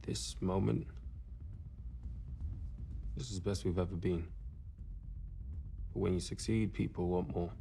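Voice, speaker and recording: Gravelly, driven racing team man. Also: deep voice, steady tone, a little slow, pauses often, warm tone. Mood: determined. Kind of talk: scripted documentary-style interview.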